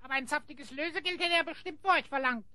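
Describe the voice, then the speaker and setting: A woman voicing a small, cheeky, grumbling sidekick creature with a squeaky, sarcastic delivery in an audio drama. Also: fast talker, crowd noise, lightly acted. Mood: pert, dry. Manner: sarcastic, pert. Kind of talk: German audio drama acting.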